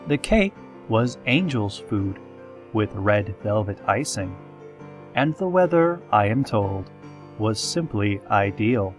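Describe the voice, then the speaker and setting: A man playing a solemn, eerie pony radio host, reading scripted parody news in a measured, formal deadpan. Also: on the low side, soft background music.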